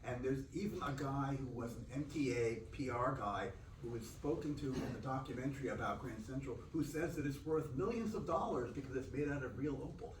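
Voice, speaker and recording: A man's voice: medium pitch, fairly quick, hardly any pauses. A man making informal, unscripted remarks from the audience at a book event, explaining things in a pedantic, digressive way. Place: indoor event room. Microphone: distant room microphone. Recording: echoey, noisy room from far off.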